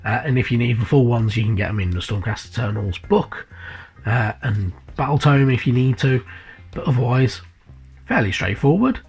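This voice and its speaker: A man's voice: mid-range voice, lively intonation, normal speed, a little mellow, husky, faint music behind. A man with a cold and a sniffly voice, casually reviewing a hobby magazine in a rambling way, with many fillers and repeated words. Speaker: hobby channel host. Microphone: voice-over above tabletop camera.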